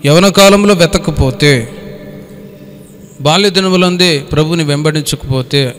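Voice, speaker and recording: A man's voice: a little high, a little slow, a bit bright, slight background noise. A man preaching a Bible study as a pastor, teaching in a didactic way, repeating phrases and putting questions to his listeners. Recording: long hall reverb.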